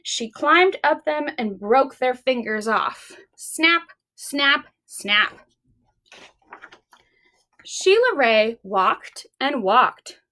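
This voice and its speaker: A woman reading and talking to young children as a library storytime host, expressive and deliberate. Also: high voice.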